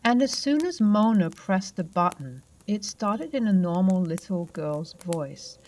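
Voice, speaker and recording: A young adult woman narrating her own true paranormal story in a steady first-person telling, with occasional fillers. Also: mid-range voice, unhurried, rough recording.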